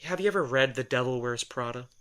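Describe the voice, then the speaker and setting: A man acts out a sardonic, exasperated guy in an audio drama. His voice is bright and forward at an ordinary male pitch. He rambles wryly and defensively, as if in casual conversation. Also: fast talker, lightly acted. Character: sardonic, exasperated male telepath, former personal assistant to a writer.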